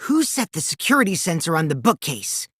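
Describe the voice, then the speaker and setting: A man's voice: fairly high pitch, sing-song, brisk, a bit bright, breathy edge, no echo, studio quality, full character voice. An actor voicing a mischievous, taunting teenage boy who lies for fun in a video game, theatrical and teasing, swinging from sly to gleeful. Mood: sly, accusing. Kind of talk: animated video game voice acting.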